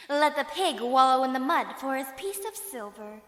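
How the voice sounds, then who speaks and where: A woman's voice: sits high, expressive, measured pace, a bit bright. A woman playing a mocking, defiant female bandit in an audio drama, taunting and scornful, in an echoey space. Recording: echoey, long reverb.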